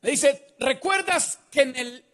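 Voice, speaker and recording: A man's voice: extremely high pitch, slow, fairly bright tone. A man preaching a sermon on a large church stage into a handheld mic. He speaks emphatically and keeps putting questions to the congregation. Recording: reverberant church hall.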